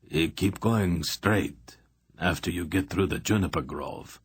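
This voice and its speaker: A man voicing a calm male miner who speaks with clinical detachment. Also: low voice, drawn-out words, breathy edge, no echo, clean studio sound, exaggerated voice.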